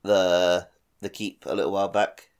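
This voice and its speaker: A man's voice: deep voice, level tone, moderate pace, clean tone. A man co-hosting a casual gaming podcast, talking wryly and conversationally. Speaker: podcast co-host.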